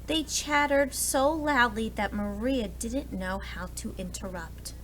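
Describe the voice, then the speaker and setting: A woman's voice: on the high side, slow pace, a bit bright, a little hiss or hum, rough recording. A woman reading a children's storybook aloud expressively, like a preschool teacher addressing young listeners. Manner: explains words and asks listeners questions.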